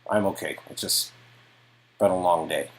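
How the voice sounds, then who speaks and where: Weary male detective, acted by a man, deep raspy voice, guarded and halting, story-game dialogue. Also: natural voice. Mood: weary, nonchalant. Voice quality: low and raspy.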